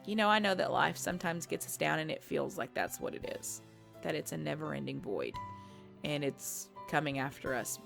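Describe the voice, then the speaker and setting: Gravelly low-voiced woman host. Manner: playful, self-deprecating, chatty. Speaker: ASMR channel host. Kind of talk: casual subscriber Q&A.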